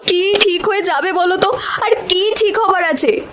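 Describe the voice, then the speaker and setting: Woman playing a very high-pitched village wife. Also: normal speed, crowd noise. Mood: anxious, relieved. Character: distressed, determined young village wife, emotional. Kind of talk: melodramatic cartoon voice acting.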